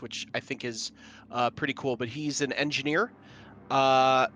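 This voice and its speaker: Man, tabletop player, bright forward voice, slangy, enthusiastic, slight background noise. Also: medium pitch. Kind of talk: casual chat.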